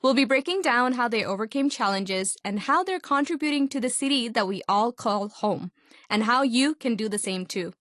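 A young woman from Hong Kong hosting an interview, reading a scripted intro and asking questions in a measured presenter manner. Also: on the high side, lively intonation, a bit bright, no echo, clean studio sound. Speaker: podcast host.

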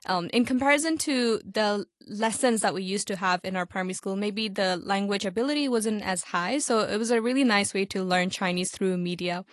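A woman's voice: medium pitch, a little fast, few pauses, no room sound, studio quality. A woman hosting an interview, asking guiding questions in a friendly way. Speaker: host. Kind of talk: hosted interview.

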